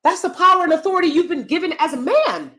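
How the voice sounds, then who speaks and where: Loud, emphatic woman commentator. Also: extremely high pitch, expressive, quick pace. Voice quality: loud, projecting stage voice. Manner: emphatic, swings between loud and soft asides. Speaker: commentary vlogger. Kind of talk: opinion commentary to camera.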